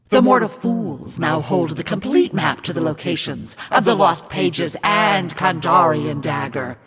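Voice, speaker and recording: A man voicing a menacing, scornful demonic narrator in a video game, commanding and mocking in a theatrical, raspy delivery. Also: high-pitched, fairly bright tone, exaggerated voice. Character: demonic evil narrator, menacing, scornful, imperious servant of darkness. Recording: long reverb over music.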